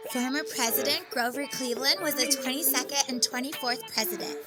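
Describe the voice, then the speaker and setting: A woman playing an earnest, highly educated porn star presenting history in a scripted comedy sketch. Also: a little fast, natural voice. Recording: loud music under the voice.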